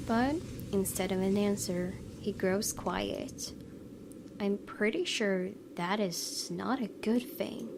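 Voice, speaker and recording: A woman's voice: medium pitch, slow pace, gravelly, background noise. A woman gaming YouTuber doing a let's play, reading story text aloud expressively with casual asides. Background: game music.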